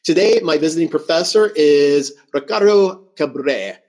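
A man hosting a travel podcast and interviewing a guest, upbeat and quick to laugh. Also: on the high side, darkish tone. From California. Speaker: podcast host. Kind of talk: casual interview.

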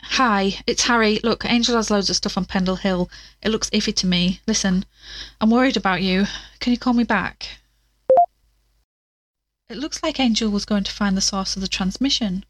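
A woman's voice: medium pitch, moderate pace, noisy background, lightly acted. A woman playing a plucky, curious investigator in an audio drama, chatting to listeners with wry asides as she searches. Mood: worried.

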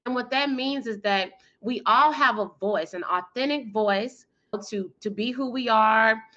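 A woman in her thirties or forties, guest in an interview, talking energetically and emphatically with a loud, powerful voice and frequent laughter. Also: on the high side, a little slow, lo-fi sound. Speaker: guest, PR firm founder and speaker.

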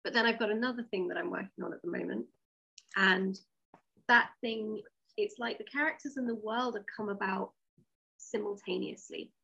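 A woman's voice: mid-range voice, moderate pace, gravelly, sharp tone, breathy edge, muffled recording. A woman interviewed as a writer, explaining her method conversationally, step by step, with rhetorical questions. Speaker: interview guest, audio drama writer.

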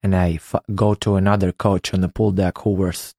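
A man in his late twenties from Ukraine, telling his own story as a former competitive swimmer in a slow, halting way. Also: deep voice, level tone, gravelly, dark tone, no echo, studio quality. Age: late 20s. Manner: unhurried, halting, recounting a memory. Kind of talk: personal storytelling.

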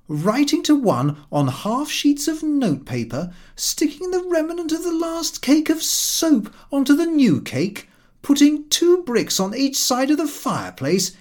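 Man acting a brash, high-voiced young fellow. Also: exaggerated voice. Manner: scornful, exclamatory, slangy. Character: brash, cheerful young man, cheeky son of the house. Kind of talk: audiobook character reading.

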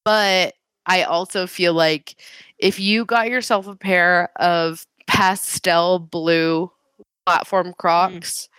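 A woman's voice: fairly low pitch, steady tone, takes it slowly, a bit bright, smooth, no room sound. A congested woman co-hosting a podcast from home over a gaming headset, chatting in a playful, sarcastic way. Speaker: podcast co-host. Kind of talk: casual chat. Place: remote recording from home.

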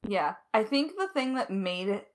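A woman's voice: medium pitch, very animated, moderate pace. A young adult woman talking as a writer on an online call, informal and enthusiastic, thinking aloud as she explains her creative process. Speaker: lead writer of an audio drama. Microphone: recorded over Discord call.

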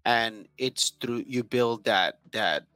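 A man's voice: mid-range voice, takes it slowly, sharp tone, dry recording. A man from Southern California whose first language is Spanish, telling his life story earnestly as a guest in an interview and pressing a motivational point. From Huntington Park, California. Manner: earnest, motivational, speaks in short repeated phrases. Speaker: guest entrepreneur.

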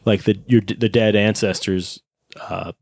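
Rambling nerdy man, low dry voice. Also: fairly even intonation, a little slow, creaky, darkish tone, husky, no room sound. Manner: rambling, nerdy, swears casually, many fillers. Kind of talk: casual chat.

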